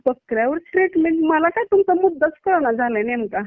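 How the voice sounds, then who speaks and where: A woman's voice: high-pitched, animated, brisk, dry recording. A woman with a Maharashtrian Marathi accent, a doctor speaking bluntly in a casual phone conversation. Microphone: phone call. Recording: tinny narrowband phone line.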